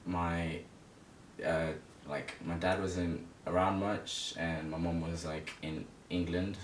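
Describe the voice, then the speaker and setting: A man in his early twenties from Zimbabwe telling personal stories as an interview guest in a casual, halting, hesitant way. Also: fairly even intonation. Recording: echoey room, distant mic, rumbling noise.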